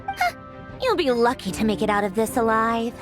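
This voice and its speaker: A woman playing a haughty, sharp-tongued young villainess in a video game, imperious and dismissive. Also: a little high, wildly swooping pitch, normal speed, music in the background. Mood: irritated, commanding.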